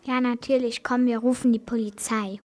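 A woman's voice: fairly high pitch, flat delivery, normal speed, darkish tone, lightly acted. A female performer playing an eager, adventurous schoolgirl in an amateur radio play for children. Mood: excited.

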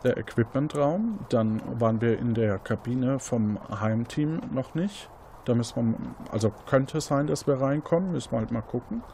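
A man's voice: mid-range voice, moderate pace, vocal fry, warm tone, slight background noise. A man hosting as game master, narrating an interactive detective game in a conversational, improvised manner.

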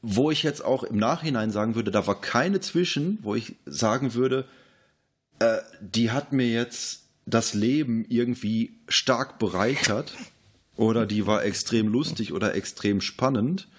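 A man's voice: mid-range voice, a little slow, slightly rough. A man casually discussing films as a podcast host.

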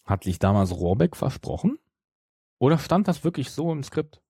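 A man's voice: mid-range voice, big pitch swings, moderate pace, warm tone, husky, no room sound, studio quality. A man talking casually as the co-host of a podcast. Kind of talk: casual chat.

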